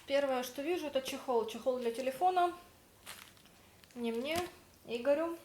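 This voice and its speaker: Chatty woman vlogger, slightly high voice. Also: normal speed, a little mellow, a little hiss or hum. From Russian-speaking, living in Spain. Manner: chatty, storytelling, acts out quoted dialogue. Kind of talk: casual vlog narration.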